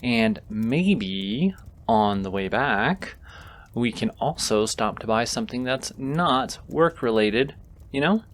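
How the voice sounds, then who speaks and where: A man playing a gentle, easily flustered wizard in a comforting fantasy audio roleplay, tender, soft-spoken and intimate. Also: mid-range voice, expressive, takes it slowly, slight background noise. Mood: fond, pleased. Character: gentle, flustered male wizard comforting a companion he secretly adores.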